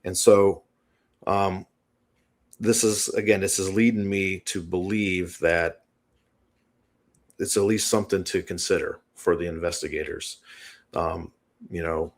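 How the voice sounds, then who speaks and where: A man guest on an interview show, explaining a written analysis in a tentative, thinking-aloud way. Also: deep voice.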